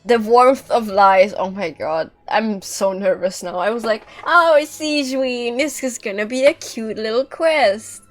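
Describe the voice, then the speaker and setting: A woman's voice: a little high, unhurried, hardly any pauses. A woman livestreaming a game, giving casual, playful commentary with wry, reactive asides. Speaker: streamer. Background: game music.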